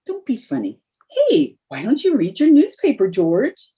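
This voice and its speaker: A scheming young housewife, played by a woman, in a radio sitcom. Her highish voice swings widely, with a husky, mellow edge, as she coaxes and wheedles. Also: huge pitch swings, normal speed, exaggerated voice. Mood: sweetly wheedling. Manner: coaxing, theatrical. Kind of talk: old-time radio sitcom acting.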